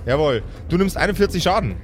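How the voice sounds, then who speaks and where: A man's voice: on the high side, sing-song, fast talker, faint music behind. A man improvising narration as the game master of a tabletop game. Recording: clear background noise.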